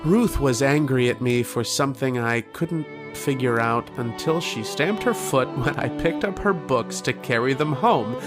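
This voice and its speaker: A man's voice: mid-range voice, animated, measured pace, few pauses, lightly acted. A man playing a haunted, lovesick, war-weary soldier in an old-time radio horror drama, with a brooding, dramatic delivery. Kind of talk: old-time radio horror acting, first-person narration and dialogue. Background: violin music.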